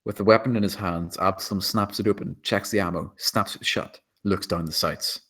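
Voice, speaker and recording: A man's voice: low-pitched, steady tone, moderate pace, fairly bright tone. A man reading out stage directions as scripted screenplay narration at a table read.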